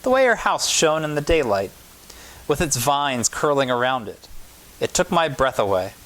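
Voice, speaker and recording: A man reading a children's picture book aloud as a pastor at storytime, in measured short phrases with pauses. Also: mid-range voice, very animated, fairly bright tone, rough recording.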